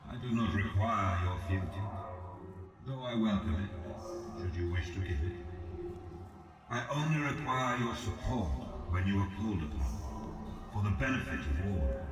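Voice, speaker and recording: A male actor playing an ancient fallen god in a video game, grave, ambitious and patient, speaking in solemn, formal, measured oratory. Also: on the low side, animated. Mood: measured, commanding. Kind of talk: scripted video game character dialogue. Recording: roomy, distant voice over game music.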